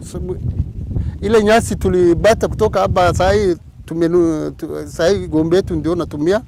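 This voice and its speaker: A man with an East African accent giving spontaneous interview testimony as a community member. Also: high-pitched. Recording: noisy outdoor recording with vehicle sound.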